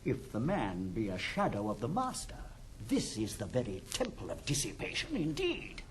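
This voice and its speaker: An actor playing a wry, disapproving old gentleman in classical stage comedy, dry and sardonic. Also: medium pitch, wildly swooping pitch, moderate pace, slight background noise. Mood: scandalized. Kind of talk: 1960s recorded classical stage comedy acting.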